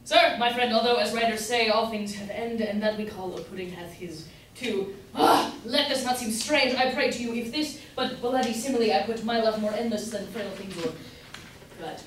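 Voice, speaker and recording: In a very echoey, distant recording, a woman plays a foppish gentleman suitor. The voice is highish, pompous and foolishly wordy, as in an old stage comedy. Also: moderate pace, natural voice. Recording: echoey room from far off, faint noise.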